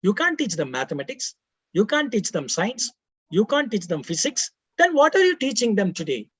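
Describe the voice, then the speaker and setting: Man, Indian accent, native Tamil speaker, husky, dry close sound, panel talk by video call. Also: high voice, very animated, normal speed, no room sound. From Karnataka, India. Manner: rhetorical questions, frequent fillers and restarts. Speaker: webinar panelist.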